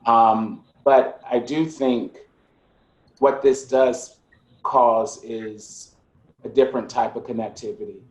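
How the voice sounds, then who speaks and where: A man's voice: medium pitch, steady tone. Reflective man in an echoey room. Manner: reflective, measured, builds his points step by step. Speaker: curator panelist. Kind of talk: panel discussion on a video call. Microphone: video call. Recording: echoey room on a video call.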